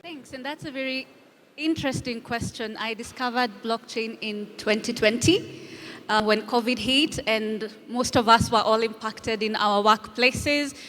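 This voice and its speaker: A woman answers a panel question in a measured, articulate way. Her voice is slightly high. Long reverb from the hall surrounds it. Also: a bit bright. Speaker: panelist, nonprofit technology lead. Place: conference stage. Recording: echoey hall.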